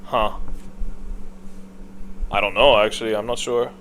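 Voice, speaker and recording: Man giving halting gaming commentary, noisy recording. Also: on the low side, flat delivery, fairly bright tone. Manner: opinionated, halting, thinking aloud. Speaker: video creator, gaming commentator. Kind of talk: casual unscripted tier-list commentary.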